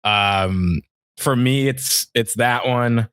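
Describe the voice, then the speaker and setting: A man's voice: medium pitch, extremely slow, fairly bright tone, clear, resonant tone, no room sound, clean studio sound. A man in his thirties co-hosting a sports podcast, talking casually in a reflective, conversational way.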